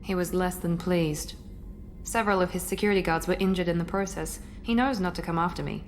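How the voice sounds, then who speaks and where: An actress playing a proud, guarded female operative in a video game, crisp, formal and controlled. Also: on the low side, steady tone, brisk, faint music behind, lightly acted. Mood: guarded, serious. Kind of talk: video game character acting. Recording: game audio with faint ship ambience.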